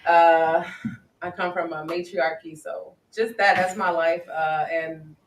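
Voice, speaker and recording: A young woman guest in a casual radio chat, relaxed and slipping Spanish phrases into her English. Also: fairly low pitch, slow. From Washington DC area. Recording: echoey room, mic at a distance, audible noise.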